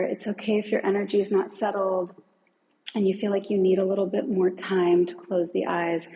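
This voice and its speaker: A woman teacher leading a guided relaxation in a gentle, unhurried way, leaving long pauses between phrases. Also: medium pitch, lo-fi sound, a little hiss or hum. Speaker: meditation and yoga teacher.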